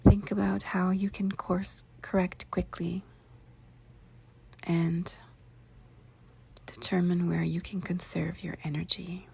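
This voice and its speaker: A woman narrating a guided meditation in a hushed, whispery, creaky voice, with deliberate short phrases and spacious pauses. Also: fairly low pitch, level tone, a little hiss or hum.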